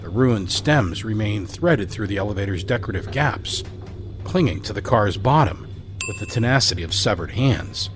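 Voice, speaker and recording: Lower-voiced man narrating an audio drama. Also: animated, over music. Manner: measured, dramatic storytelling.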